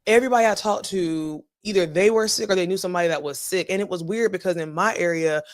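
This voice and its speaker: A woman co-hosting a podcast in casual chat, slightly hoarse after a cold, chatty and playful. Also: fairly low pitch. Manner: chatty, playful, talks in quick bursts. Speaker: podcast co-host.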